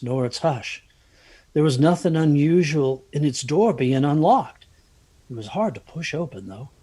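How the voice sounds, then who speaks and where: A man's voice: mid-range voice, darkish tone, husky. A man acting an anxious, haunted narrator of a dramatic first-person ghost story, hesitant and brooding in his delivery. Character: anxious haunted man recalling a stormy night.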